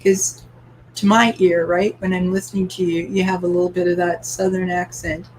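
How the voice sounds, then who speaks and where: A woman's voice: on the low side, flat delivery, normal speed, a little hiss or hum, rough recording. A woman from Canada hosting a live-streamed book club, asking open questions and reading viewer comments aloud with light laughter.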